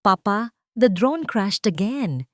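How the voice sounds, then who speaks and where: A woman with a Filipino accent voicing an eager, excited small boy in an audio story. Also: mid-range voice, takes it slowly, no room sound, clean studio sound, voice pushed up.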